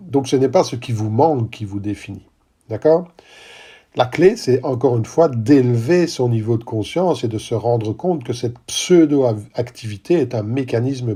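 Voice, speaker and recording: Male coach host, middle-aged, husky dark voice, emphatic, checks in with listeners. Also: mid-range voice. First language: not English. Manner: emphatic, repeats key phrases, addresses listeners with "d'accord?". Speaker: podcast host and business coach. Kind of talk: podcast monologue.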